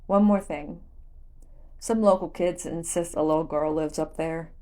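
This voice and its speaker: A woman's voice: deep voice, a little slow, warm tone. A woman voicing a friendly male village shopkeeper in a storybook reading, with a casual, folksy, advising manner. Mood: kindly advising.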